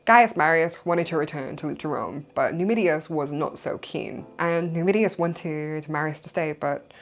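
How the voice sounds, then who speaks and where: Chatty Welsh woman, lowish voice. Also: normal speed. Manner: chatty and informal, with loose asides. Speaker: history channel host. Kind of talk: casual educational monologue.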